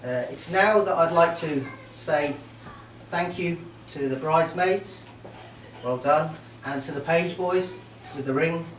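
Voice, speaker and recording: A middle-aged man from Merseyside giving a halting, joking best man's speech at a wedding reception. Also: on the high side. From Merseyside, England. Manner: warm, joking, halting with many ums. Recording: roomy hall, mic far off, faint noise.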